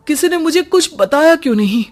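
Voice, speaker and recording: A curious, headstrong boy in a cartoon tale, played by a woman. He exclaims and questions in a raised, louder voice. Music sits underneath. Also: high voice, lively intonation, fairly quick, pitched above the performer's own voice. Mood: curious, surprised. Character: curious, bold, stubborn young village boy.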